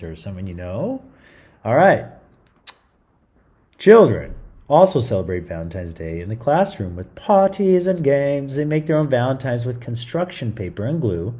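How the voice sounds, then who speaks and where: A man's voice: medium pitch, warm tone. A man reading a story aloud to children in a theatrical, playful style, with chatty asides. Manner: theatrical, playful, interjecting comments to young listeners.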